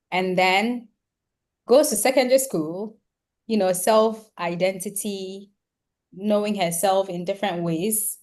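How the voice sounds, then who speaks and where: A woman speaking casually as the host of a book discussion. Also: lowish voice.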